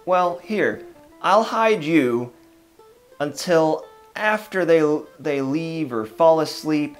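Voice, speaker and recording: Storyteller putting on a shrewd, high-pitched old hag. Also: lively intonation, takes it slowly, soft background music. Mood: urgent, scheming. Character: conspiratorial old hag, shrewd helper in a cannibal's house.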